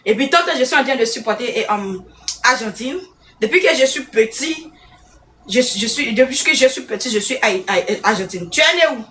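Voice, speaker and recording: A woman's voice: a little high, animated, normal speed, fairly bright tone, recorded from a distance, slight background noise. A woman ranting in a live chat, rambling and emphatic, repeating herself.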